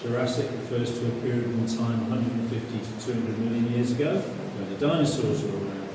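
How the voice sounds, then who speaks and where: A man's voice: mid-range voice, brisk. A man guiding a group through a cave, chatting easily and asking the visitors questions as he explains. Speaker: cave tour guide. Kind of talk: guided tour talk to a group. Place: inside a limestone show cave. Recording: echoey cave, distant camera mic, noisy.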